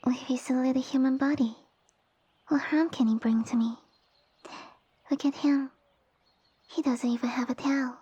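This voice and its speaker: A woman playing a sassy, tiny snake-girl noble with pompous, formal, commanding speech and a soft breathy voice, in an ASMR roleplay. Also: high voice, fairly even intonation, measured pace, long pauses, muffled recording, full character voice. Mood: imperious, dismissive. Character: haughty, sassy young lamia noblewoman, tiny monster girl. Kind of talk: ASMR roleplay audio drama.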